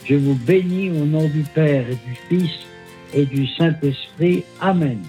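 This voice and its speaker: An older male priest answering questions briefly and calmly. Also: fairly high pitch, soft background music. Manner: brief, calm, slow.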